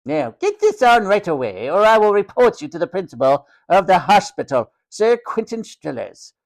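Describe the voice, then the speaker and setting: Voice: medium pitch, very animated, normal speed, creaky, pitched above the performer's own voice. A storyteller voicing a cruel, sneering hospital matron, a domineering woman who snaps and mocks. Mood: smug, snapping. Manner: snapping, mocking, sarcastic, domineering.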